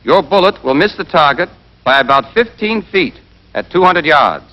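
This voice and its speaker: A man playing a brisk, exacting American army rifle instructor in a scripted 1940s training film, drilling and quizzing with emphasis. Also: on the high side, lively intonation, pushed and bright. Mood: firm, emphatic. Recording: dull band-limited 1940s film soundtrack.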